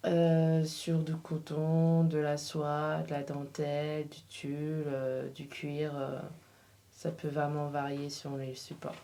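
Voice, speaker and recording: A woman's voice: deep voice, little pitch movement, slow, mellow. A woman guest answering questions in a casual interview, often hesitating and searching for her words. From Portugal. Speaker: interview guest, freelance embroiderer.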